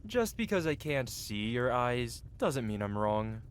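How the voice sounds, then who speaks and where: A man's voice: medium pitch, sing-song, measured pace, slight background noise. A man playing a cocky, flirtatious medieval blacksmith in a fantasy ASMR roleplay, with a playful, teasing and theatrical way of talking. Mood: cocky, flirty.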